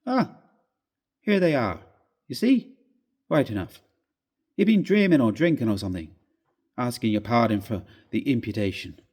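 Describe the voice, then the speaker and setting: A man's voice: mid-range voice, expressive, normal speed, pauses often, a little mellow, husky. A man reading a ghost story aloud, voicing a jovial, brusque young Irish doctor who scoffs emphatically. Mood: mocking reassurance.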